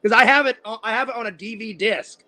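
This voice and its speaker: High-voiced man in his forties, rambling. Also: fairly quick, bright, forceful tone. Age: 40s. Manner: rambling, repeats words and restarts often. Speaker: host. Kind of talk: casual interview chat.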